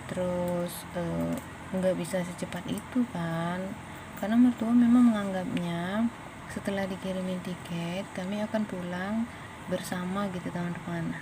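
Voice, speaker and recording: A woman's voice: on the low side, steady tone, a little slow. A woman from Indonesia with an Indonesian accent, telling a story casually and chattily to her viewers as a vlogger. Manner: conversational, addresses viewers as friends. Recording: noisy, with vehicle and ambient sound.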